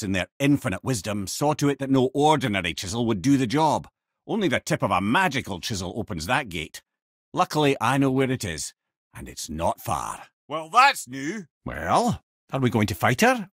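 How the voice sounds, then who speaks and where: An actor playing a witty, learned old Scottish companion in a video game, telling lore with an eloquent, lilting, theatrical delivery and a husky edge. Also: male voice, mid-range voice, very animated, normal speed, a bit bright, no echo, clean studio sound. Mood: earnest counsel. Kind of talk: video game character dialogue and lore storytelling.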